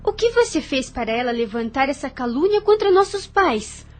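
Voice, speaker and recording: A questioning, emotional young sister in a period radio drama, with a woman acting her out. Her voice is high and moves a lot in pitch. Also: big pitch swings, normal speed. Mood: worried. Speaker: audio drama actress.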